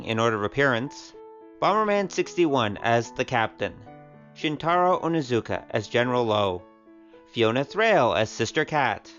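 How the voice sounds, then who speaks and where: A man announces closing credits in a scripted read. His voice is mid-pitched, with music playing behind it. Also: fairly bright tone, lo-fi sound. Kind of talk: scripted narration.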